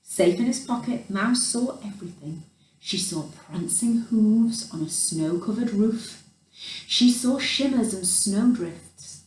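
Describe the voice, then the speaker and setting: A woman reading a children's picture book expressively, in an animated storyteller's manner with chatty asides. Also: medium pitch, takes it slowly. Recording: roomy echo, distant microphone.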